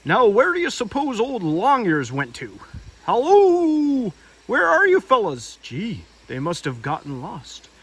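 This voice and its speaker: A man's voice: sits high, huge pitch swings, measured pace, fairly bright tone, breathy edge, pitched above the performer's own voice, full character voice. A man voicing a bouncy, happy-go-lucky tiger from a storybook, with folksy exclamations. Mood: puzzled, calling out.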